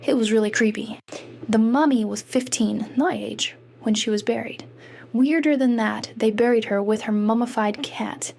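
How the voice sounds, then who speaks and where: A wry, bookish teenage girl , played by a woman, narrates a comic dub in a fairly high voice. She is introspective and self-conscious, reading scripted first-person story lines over faint noise. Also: moderate pace, creaky, darkish tone. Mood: wry, morbid. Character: self-conscious bookish fifteen-year-old girl, sardonic student narrator.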